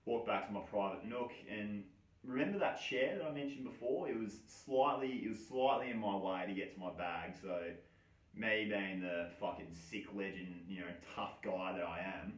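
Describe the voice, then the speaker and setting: A man's voice: fairly low pitch, moderate pace. A man tells a personal story in loose, slangy language full of swearing. His delivery is wry and self-mocking. The room is echoey and he sounds some way from the microphone. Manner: heavy casual swearing, slangy. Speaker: storyteller, host of his own story series. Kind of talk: casual first-person storytelling. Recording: echoey room, distant from mic.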